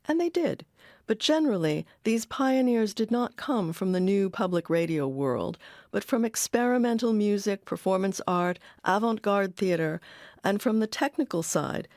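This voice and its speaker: A woman with an American accent giving scripted documentary narration in a measured, articulate way. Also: medium pitch, a little mellow, no echo, studio quality.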